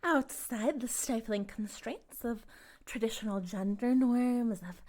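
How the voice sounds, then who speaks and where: A woman playing a possessive, self-righteous cult leader in an intimate audio roleplay, cooing and condescending, sometimes breathy, speaking directly to the listener. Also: on the high side, slow pace, darkish tone. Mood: rapturous, self-satisfied. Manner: theatrical, cooing, condescending, drifting into breathy whispers.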